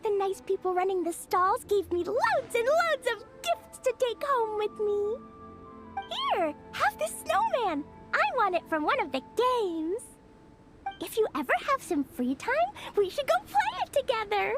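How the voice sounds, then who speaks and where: A woman voicing an excitable, sweet little girl who gushes breathlessly. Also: very high-pitched, unhurried, heavily acted. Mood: excited. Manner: breathless, gushing. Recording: game audio with faint music.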